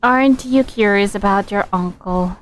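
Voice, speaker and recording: A woman streaming a game, casually commenting and reading dialogue choices in an amused, halting way. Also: mid-range voice, noisy background.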